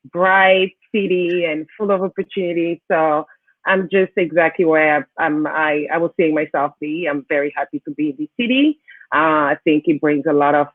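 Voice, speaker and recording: A woman's voice: deep voice, no room sound. A woman from Cameroon answering interview questions conversationally, as a guest. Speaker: interview guest, financial services professional. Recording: narrow, thin call-like sound.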